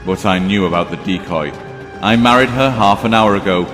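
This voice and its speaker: An actor playing a proud, composed vampire king with a measured, sardonic, regal manner in an audio drama. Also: fairly low pitch, close to an everyday voice. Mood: firm, regal. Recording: long reverb, music underneath.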